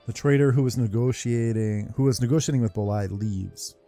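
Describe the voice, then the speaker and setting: A man's voice: medium pitch, a little fast, dark tone, faint music behind. A man giving casual, muttered, mildly sweary commentary as he plays a video game. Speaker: gamer playing through a story quest.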